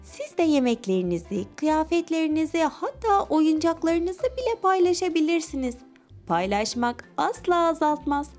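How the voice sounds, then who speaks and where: A woman's voice: high-pitched, very animated, moderate pace, darkish tone, faint music behind. A woman narrating a scripted children's story expressively, speaking directly to young listeners.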